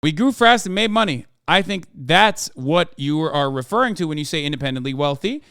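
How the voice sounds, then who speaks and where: A man's voice: fairly high pitch, lively intonation, a little fast, pushed and bright, clean tone, dry recording, clean studio sound. A man voicing a frank, wry Icelandic entrepreneur with a put-on Icelandic accent, reading a written statement aloud.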